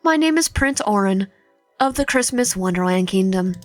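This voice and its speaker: A woman's voice: mid-range voice, animated, moderate pace, no room sound, lightly acted. A woman voicing a courteous, earnest young prince in a visual novel, polite in manner. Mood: polite, apologetic. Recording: music behind the voice.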